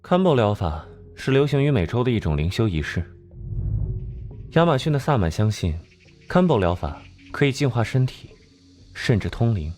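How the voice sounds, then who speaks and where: Guy playing sleepy young mystic consultant in a cartoon crime show, sardonic, pitch swings around, bit of music. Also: sing-song, moderate pace, darkish tone, natural voice. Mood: smug, explanatory. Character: sleepy, flippant young male occult expert aiding detectives. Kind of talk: animated crime drama voice acting.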